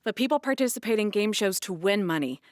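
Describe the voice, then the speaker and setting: An actress playing a middle-aged aunt at a radio station in a comedy audio drama, blunt, with a dry wit. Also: female voice, medium pitch, animated, fast talker, dry recording, lightly acted. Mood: wry.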